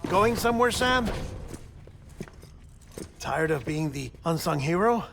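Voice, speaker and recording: An actor playing a wry, caring comrade in a video game cutscene, conversational and explanatory. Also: male voice, fairly high pitch, expressive, takes it slowly, gravelly, background noise, full character voice. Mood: wry, coaxing.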